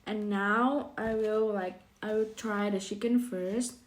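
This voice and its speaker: A woman's voice: medium pitch, steady tone, takes it slowly, low-quality recording. A woman vlogging casually about food, speaking in simple, hesitant English. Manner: hesitant, simple non-native English phrasing. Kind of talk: casual food vlog to camera.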